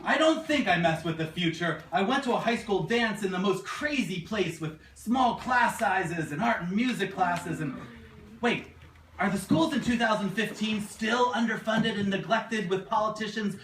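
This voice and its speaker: A man voicing a flustered, apologetic teenage time traveler in a comic puppet show, excitable and exclamatory. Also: high voice, moderate pace. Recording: distant mic, echoey room, noisy.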